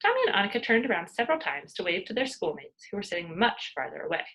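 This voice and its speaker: A woman reading a children's story aloud like a teacher, expressive and dramatic. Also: mid-range voice, fast.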